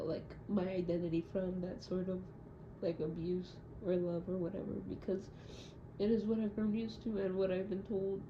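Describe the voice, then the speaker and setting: A young adult woman speaking in a hesitant, halting and reflective way, as in a confessional audio diary. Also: on the low side. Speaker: audio diarist. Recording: muffled, echoey room with loud noise.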